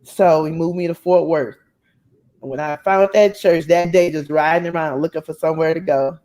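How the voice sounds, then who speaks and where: A woman's voice: deep voice, fairly even intonation, normal speed, warm tone. Woman guest, earnest and heartfelt, shares her faith testimony. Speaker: guest storyteller.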